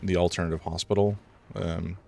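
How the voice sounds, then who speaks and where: A man live-streaming a horror game, rambling through his commentary, chatting with viewers, and reacting loudly to scares, a little congested. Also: sits low, little pitch movement, fairly quick, creaky, faint music behind. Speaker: gaming streamer.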